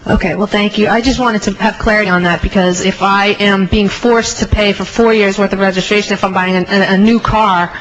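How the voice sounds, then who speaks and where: A woman's voice: mid-range voice, steady tone, brisk, hardly any pauses. American woman, legislator, mid-range voice, questioning at a hearing, dull lo-fi sound, faint noise. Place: legislative committee hearing room.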